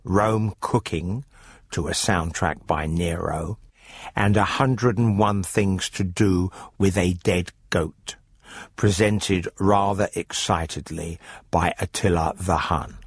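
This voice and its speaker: A man narrating a scripted radio story as presenter, in a dry, measured manner. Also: deep voice, steady tone, breathy edge, dry recording.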